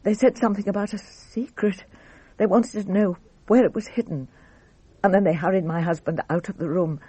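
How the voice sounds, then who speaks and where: A woman acting in a radio drama as a composed, guarded wife recounting an ordeal in measured, formal speech. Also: mid-range voice, fairly even intonation, warm tone, slightly rough, no echo, natural voice. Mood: grave, shaken.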